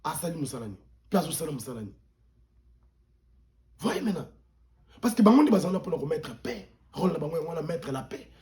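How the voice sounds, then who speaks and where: A man with a Congolese accent and a soft-edged, mellow voice pitched a little high. He speaks as a pastor turned political commentator, indignant and emphatic. He repeats words to drive points home and slips between languages mid-sentence. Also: very animated, a little fast, long pauses. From Democratic Republic of the Congo. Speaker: pastor, interview guest. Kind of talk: impassioned political commentary in an interview.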